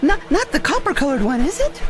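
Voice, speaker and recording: A woman voices a brash space superheroine with a high, wildly swooping voice. She speaks in excitable, quick outbursts over a music bed. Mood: alarmed. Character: brash, bold adult heroine in space adventure. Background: dramatic score.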